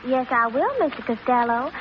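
A woman's voice: fairly high pitch, a little fast, close to an everyday voice. Sugary, flirtatious Southern belle played by a woman for 1940s radio comedy; muffled, faintly hissy. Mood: flirtatious, sweet.

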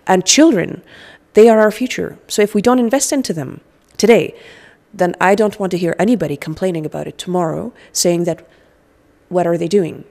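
Woman, fairly low pitch, from Afghanistan, earnest interview talk, handheld mic indoors. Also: a little fast, gravelly, darkish tone, big-room sound. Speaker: interview guest, humanitarian. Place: indoor lounge with sofas. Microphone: handheld microphone.

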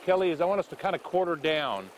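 A man's voice: fairly high pitch, sing-song, quick pace, slight background noise. A middle-aged man, a fishing guide, wading in a river and explaining casting step by step, often checking in with "okay?". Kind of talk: on-the-spot fly-casting instruction.

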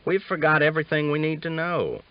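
A man's voice: a little high, lively intonation, fairly quick, voice pushed up. Man voicing a wry, ornery aging cowboy. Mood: teasing. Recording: dull lo-fi audiobook recording.

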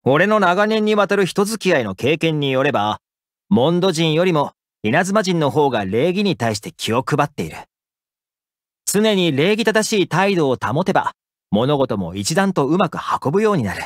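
Casting: young male game housekeeper, caring and upbeat, fairly high-pitched, lively intonation, dry studio sound. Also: moderate pace, no room sound. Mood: earnest, friendly. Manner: casual, gently attentive, expressive. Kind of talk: Japanese anime game character voice lines.

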